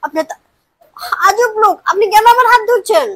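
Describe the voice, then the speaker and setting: A woman acting as a hurried, indignant delivery courier who insists and protests, in an amateur audio drama. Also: very high voice, animated, fairly bright tone, dry recording. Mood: angry, indignant. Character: young female parcel courier, hurried, indignant, standing her ground.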